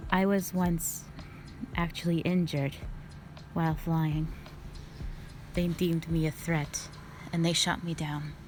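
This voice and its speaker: Ancient female dragon guardian for audio drama, played by a woman: low-pitched, unhurried, proud, stern yet composed, formal diction. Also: level tone, darkish tone, slight background noise. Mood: solemn, reflective. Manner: formal, measured, stately. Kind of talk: scripted roleplay audio drama monologue.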